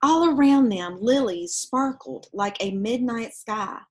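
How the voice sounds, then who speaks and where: Higher-voiced woman reading a children's storybook. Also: slow. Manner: animated reading, explaining pictures to young listeners. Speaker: storybook reader. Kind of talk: expressive children's read-aloud with casual asides.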